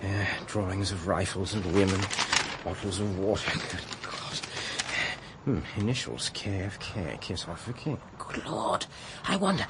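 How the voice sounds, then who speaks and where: An actor playing an anxious, polite RAF officer with a British accent, coaxing and hesitant, in a radio drama. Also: male voice, sits low, lively intonation, unhurried, noisy background. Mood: distracted, flustered.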